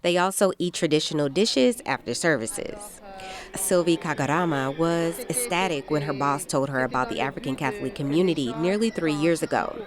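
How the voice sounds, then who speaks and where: A woman reporter reading a scripted radio news feature. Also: low voice.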